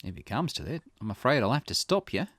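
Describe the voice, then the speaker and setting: A man's voice: medium pitch, very animated, quick pace, a bit bright, no room sound. A man voicing a skeptical, plain-spoken private detective with a dry, questioning manner in a visual novel. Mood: sympathetic, firm. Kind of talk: character voice reading visual novel dialogue.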